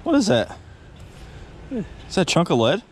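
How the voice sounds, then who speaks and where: Guy vlogging outside by the water, super lively up and down voice, some background noise. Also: sits high, measured pace. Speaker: magnet fishing video host. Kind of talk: casual outdoor vlog chat. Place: outdoors by the water.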